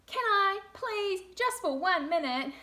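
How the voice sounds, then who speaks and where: A woman's voice: extremely high pitch, expressive, takes it slowly, bright, forceful tone, voice pushed up, exaggerated voice. A woman voicing an eager little boy in a storybook, pleading in a wheedling, persistent way.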